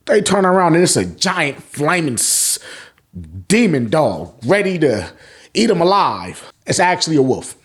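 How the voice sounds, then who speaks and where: An American man hosting a casual, slangy manga review, swearing freely and repeating phrases for emphasis. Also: high voice. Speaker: host of a manga review show. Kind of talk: casual, slangy chapter recap commentary.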